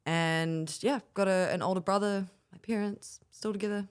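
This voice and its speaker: A young woman from New Zealand with a New Zealand accent, chatting as a guest musician in a relaxed way, with frequent ums and easy laughs. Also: medium pitch, moderate pace, clean tone. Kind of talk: casual interview chat.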